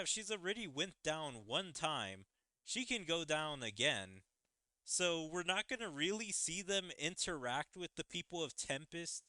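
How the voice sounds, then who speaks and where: A man's voice: fairly high pitch, bright, forceful tone, no echo. A man giving casual game commentary as a streamer, speculating and musing aloud.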